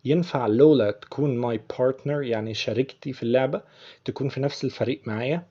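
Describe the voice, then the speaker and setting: A man with an Egyptian accent teaching English, patiently repeating film lines slowly before explaining them. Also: mid-range voice, warm tone, highs cut off. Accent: Egyptian Arabic.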